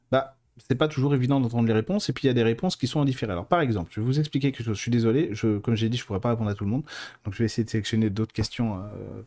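A man with a relaxed, mellow voice hosts a live stream and answers viewers. He talks informally and colloquially, wandering into digressions as he goes. The delivery is chatty and unscripted. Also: medium pitch. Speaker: host of a live stream on mediumship and clairvoyance. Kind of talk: casual live talk answering viewer questions.

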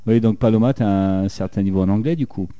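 A man's voice: fairly low pitch, fairly quick, mellow, clear, resonant tone, dull audio. A man hosting a radio music show, asking chatty, enthusiastic questions that he sometimes stumbles over. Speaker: radio host. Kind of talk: casual radio interview.

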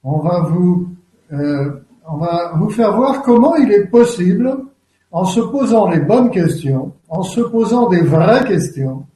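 A man with a high-pitched but mellow voice explains things in a measured way as a guest. His recording has a clear room echo. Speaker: guest, self-questioning workshop leader. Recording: roomy echo.

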